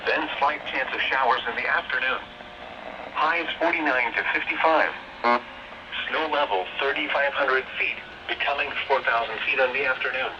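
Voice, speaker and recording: A man's synthetic, robotic voice reading a scripted weather forecast as a radio announcer, measured and formulaic. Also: medium pitch, muffled recording. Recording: noisy weather radio heard from a distance.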